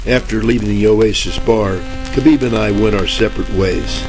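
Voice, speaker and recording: An actor playing a wisecracking, bumbling private detective in a deadpan 1940s radio noir parody. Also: male voice, medium pitch, close to an everyday voice. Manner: deadpan, overblown similes. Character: wisecracking hard-boiled male private detective, bumbling and sarcastic. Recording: crackly old-time radio with music underneath.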